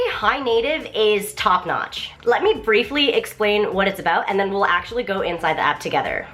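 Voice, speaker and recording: A woman's voice: medium pitch, a bit bright. A young woman vlogger, bubbly and enthusiastic, chatting casually through an app walkthrough. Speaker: vlogger reviewing a language app.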